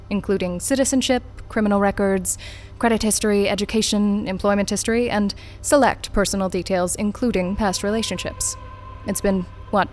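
A woman plays an unflappable corporate interrogator in an audio drama. She speaks at a middle pitch with cool, clipped formality and detachment, over faint music. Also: natural voice. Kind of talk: naturalistic audio drama acting.

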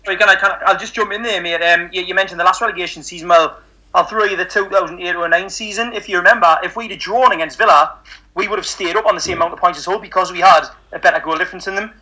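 A man's voice: sits high, steady tone, rapid-fire, sharp tone, rough recording. A man with a Geordie accent on a football podcast, cutting in eagerly and backing up his point with numbers. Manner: jumps in eagerly, argues his case with figures. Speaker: podcast co-host. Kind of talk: casual football podcast chat.

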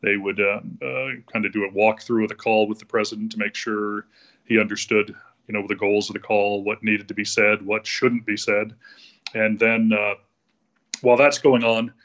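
Low, even-toned American man, dull audio. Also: normal speed. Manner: methodical, step-by-step explaining with frequent hesitations. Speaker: former government official, interview guest. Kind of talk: explanatory interview talk.

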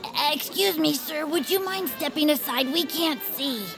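A woman voicing an excitable, dreamy young hero-worshipper in a family audio drama, with an eager, dramatic manner. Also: high voice, moderate pace, over music, a crowd in the background. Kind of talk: acted audio fiction for families.

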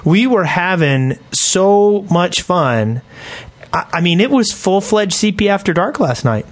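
Guy with kinda higher voice chatting about games on a podcast, super into it, slangy. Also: very animated, a little slow. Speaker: podcast host. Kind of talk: casual gaming podcast chat.

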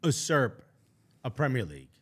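Slangy, animated joking man. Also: mid-range voice, moderate pace. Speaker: soccer podcast co-host. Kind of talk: casual chat.

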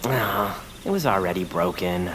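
A man's voice: low-pitched, expressive, unhurried, fairly bright tone, a little hiss or hum. A man voicing a timid, polite young woodland creature in an audio drama, speaking hesitantly. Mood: nervous, soft. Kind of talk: audio fiction character acting.

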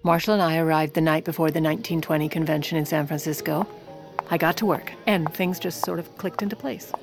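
An actress playing a scheming, power-hungry 1920s First Lady in an audio comedy, speaking in a wry, deadpan manner. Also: sits low, fairly quick, over music. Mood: wry narration. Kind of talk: audio comedy drama with first-person narration.

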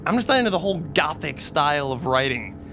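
High-voiced skeptical young guy. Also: big pitch swings, fast, noisy background. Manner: casual, mocking slang. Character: skeptical, casual young male comic reader. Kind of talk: audio drama dialogue.

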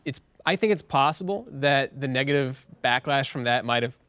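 Male podcaster, animated intonation, informal discussion, dry close-up sound. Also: medium pitch, normal speed, no room sound. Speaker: podcast co-host. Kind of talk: casual discussion.

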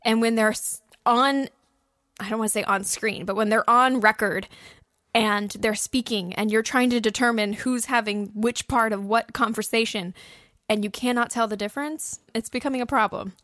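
A woman with a fairly high voice hosts a casual, advice-giving podcast chat. She talks emphatically, like someone explaining her craft to a co-host. The sound is very dry, with no room echo. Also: steady tone, moderate pace, fairly bright tone. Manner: emphatic, acts out imagined thoughts and quotes. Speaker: podcast host and audio drama producer.

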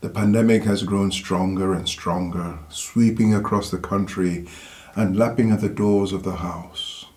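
A man's voice: deep voice, steady tone, a little slow, darkish tone. A man narrating an audio play in a scripted storytelling style.

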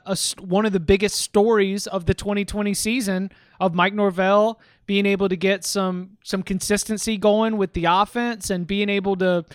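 A man's voice: sits high, no echo, studio quality. A man hosting a sports podcast, talking things through casually and often restarting his words. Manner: rambling, repeats words while thinking.

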